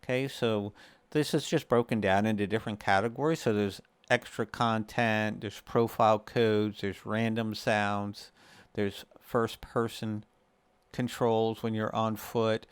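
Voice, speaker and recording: Guy walking thru software step by step, lowish smooth voice, really dry sound. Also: measured pace, no room sound. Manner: explanatory, step by step. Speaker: gaming video host. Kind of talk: casual screen-share tutorial.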